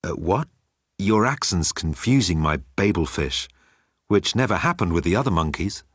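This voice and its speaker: A man's voice: deep voice, animated, normal speed, no room sound, clean studio sound. A man voicing a sly, cocky alien swindler who is mocking and condescending toward humans. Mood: baffled, snide.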